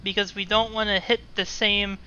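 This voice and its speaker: Bright-voiced woman commentating over a video game. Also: medium pitch, level tone, normal speed, clean tone. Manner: explanatory, narrates each command as it happens. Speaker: gaming video host. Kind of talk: live gameplay commentary. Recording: muffled narrow band, music behind. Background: game music and engine sounds.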